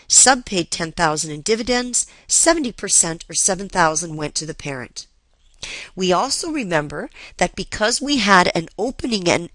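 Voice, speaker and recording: A woman instructor explaining material step by step in a didactic way, with reminders and quick check-ins. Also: fairly low pitch, fairly bright tone, no room sound. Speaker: accounting instructor. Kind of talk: explanatory lesson walkthrough.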